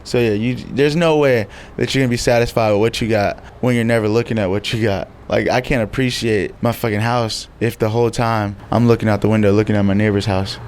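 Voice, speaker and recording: Creator guest, man, quick and slangy, profane. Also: lowish voice, steady tone, a little mellow, clean tone. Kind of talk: casual interview.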